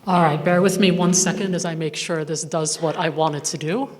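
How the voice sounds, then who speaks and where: A woman's voice: low voice, steady tone, fairly quick, a little mellow, slight background noise. A woman researcher presenting a report at a conference, conversational and wry with asides. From not from Northern Ireland. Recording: reverberant hall sound.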